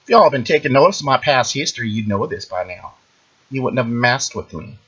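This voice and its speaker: A man playing a smug, boastful internet ranter who claims to read minds, taunting the listener in a mocking sing-song and tagging lines with drawn-out "mm-hmm"s. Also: medium pitch, expressive, fairly bright tone, husky, highs cut off. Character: smug boastful male internet ranter, self-proclaimed mind-reader. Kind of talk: mocking satirical monologue.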